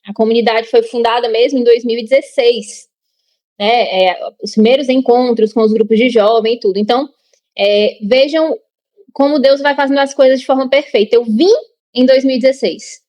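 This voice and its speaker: A woman's voice: fairly high pitch, fairly even intonation, moderate pace, a little mellow, no room sound. A young woman from Ceará with a Cearense Brazilian accent, a podcast guest chatting casually with frequent fillers. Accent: Cearense Brazilian Portuguese. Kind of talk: casual conversational storytelling.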